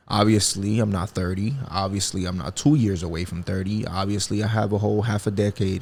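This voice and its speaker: Male host, 20s, lowish gravelly voice, rambling casual podcast monologue. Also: a little mellow. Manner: rambling, reflective, swears freely, many fillers. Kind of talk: casual solo podcast talk.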